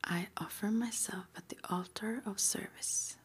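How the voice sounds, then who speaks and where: Breathy whispering woman, ASMR. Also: medium pitch, fairly even intonation. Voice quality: breathy, almost entirely unvoiced whisper. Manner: slow, deliberate, short phrases with pauses. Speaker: ASMR roleplay host. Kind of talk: whispered ASMR, reading affirmations from numbered oracle cards.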